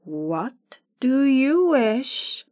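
A woman's voice: high voice, sing-song, very slow, darkish tone, voice pushed up, heavily acted. A woman doing a courteous winged monkey king. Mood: formal.

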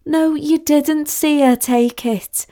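A woman's voice: sits high, moderate pace, a little mellow, pitched above the performer's own voice, close to an everyday voice. A woman voicing a sensible, embarrassed young woman in a storybook, reasoning and pleading in a weary manner. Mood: weary, firm. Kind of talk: acted dialogue in a storybook reading.

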